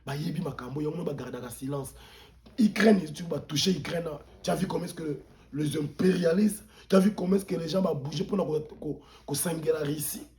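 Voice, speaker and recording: A man's voice: fairly high pitch, normal speed, a little mellow. A Congolese man, a pastor, giving impassioned political commentary as an interview guest, emphatic and indignant, repeating words for stress and switching languages mid-sentence. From Democratic Republic of the Congo.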